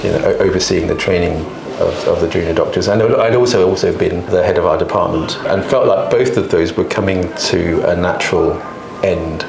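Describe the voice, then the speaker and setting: Deep, creaky-voiced middle-aged man. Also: fairly quick, few pauses. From born in Hong Kong, living in the UK before China. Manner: hesitant, thoughtful, often repeats words. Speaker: interview guest, emergency doctor. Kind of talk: conversational interview answers. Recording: roomy echo, clear background noise.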